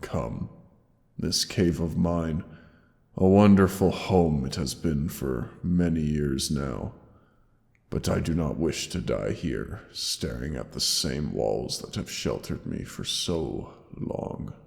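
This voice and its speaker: A huge old dragon near death, rumbles in an extremely deep voice inside a long, cavernous reverb. He speaks very slowly and solemnly, with weary tenderness, as in a fantasy audio drama. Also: darkish tone, heavily acted. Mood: tender, resigned. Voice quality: deep gravelly, breathy sighs. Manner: slow, solemn, halting, tender endearments. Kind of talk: dramatic roleplay audio monologue.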